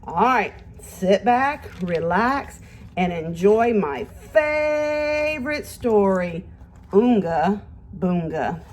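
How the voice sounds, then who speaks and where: A woman with a medium-pitched, very lively voice reads a picture book to young children as their teacher. She takes her time, keeps her wording simple, and speaks straight to the children with questions and encouragement. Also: drawn-out words, a little hiss or hum. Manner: expressive, simple wording, encouraging praise, addressing children directly. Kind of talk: children's read-aloud lesson with questions and pauses.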